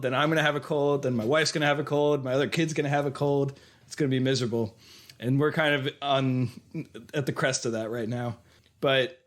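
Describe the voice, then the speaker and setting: A man's voice: medium pitch, a bit bright. A man in his thirties co-hosting a film podcast, chatting loosely while fighting a cold and losing his voice. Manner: rambling, self-correcting, laughs often. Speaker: podcast co-host. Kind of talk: casual chat.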